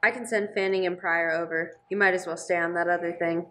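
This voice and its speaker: A woman playing a brusque, businesslike police captain in a radio play, brisk and authoritative. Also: on the low side, steady tone, bright, forceful tone. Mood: firm. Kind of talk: amateur radio play acting.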